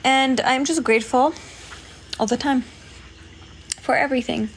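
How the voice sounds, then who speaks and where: Woman livestream host from Poland; chatty and giggly, slow delivery, phone mic with faint background noise. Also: high voice, fairly even intonation. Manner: chatty, giggly, thanks viewers often. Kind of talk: casual livestream chat reading comments.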